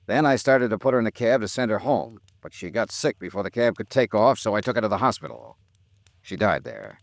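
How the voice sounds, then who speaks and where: Low-voiced, sardonic American radio detective. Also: male voice, animated, brisk, creaky, no room sound. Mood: curt, impatient. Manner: clipped, wry, dry delivery. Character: terse, sardonic male hard-boiled insurance investigator. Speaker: detective lead and narrator. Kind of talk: old-time radio noir detective drama acting.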